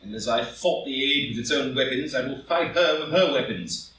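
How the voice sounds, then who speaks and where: A man's voice: mid-range voice, animated, normal speed, fairly bright tone, natural voice. A man playing a proud, anxious married statesman who fears scandal, earnest and melodramatic, in a stage drama reading. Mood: determined, urgent. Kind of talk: live read-through of a stage play as audio drama. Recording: very echoey room, distant mic, faint noise.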